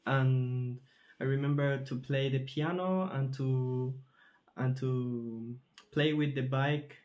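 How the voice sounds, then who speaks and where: A man from Chile, a composer answering interview questions thoughtfully, often pausing and searching for words. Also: mid-range voice, fairly even intonation, darkish tone, dull audio. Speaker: composer in residence.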